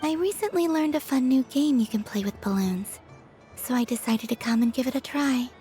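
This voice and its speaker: A woman playing a serene, playful young moon goddess in a video game, gentle, polite and airy in delivery. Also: high voice, normal speed, close to an everyday voice. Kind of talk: soft video game voice acting. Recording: game audio with background music.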